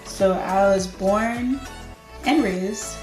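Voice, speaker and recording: A woman's voice: medium pitch, drawn-out words, roomy sound, music in the background, low-quality recording. A Jamaican woman in her thirties, chatting casually and unhurriedly through a get-to-know-me Q&A for her channel, laughing easily. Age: 30s. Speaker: lifestyle YouTuber.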